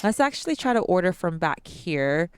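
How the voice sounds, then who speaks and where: A woman's voice: fairly low pitch, big pitch swings, normal speed, smooth. A young adult woman hosting a let's-play, chatting casually to viewers with ums and restarts, her nose a bit stuffy. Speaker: gaming channel host.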